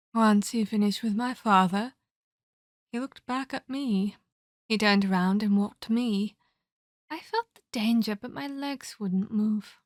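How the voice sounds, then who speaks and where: A woman voicing the centuries-old ghost of a murdered girl in a horror ASMR roleplay, eerie, menacing and wistful, speaking deliberately with breathy, whispery delivery. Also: medium pitch, no room sound, clean studio sound, natural voice. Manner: slow, deliberate, theatrical storytelling.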